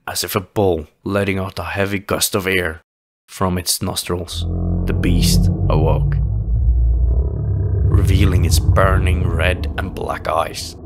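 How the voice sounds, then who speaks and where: A man narrating a scripted fantasy audio play as a dramatic storyteller. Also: low-pitched. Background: music.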